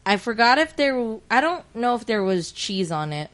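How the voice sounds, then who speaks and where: High-pitched American woman chatting casually. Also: big pitch swings, normal speed, a bit bright. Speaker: podcast co-host. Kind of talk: casual chat.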